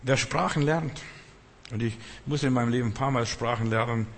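A man's voice: medium pitch, a little fast, fairly bright tone, in a large hall, slight background noise. A man preaching off the cuff as a non-native speaker, emphatically repeating phrases and addressing his listeners directly. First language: not German. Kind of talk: extemporaneous sermon.

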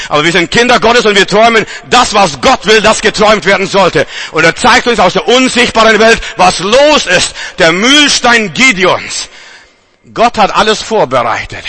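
A man's voice: high voice, sharp tone. A man from Germany preaching a charismatic sermon with emphatic, repeated refrains.

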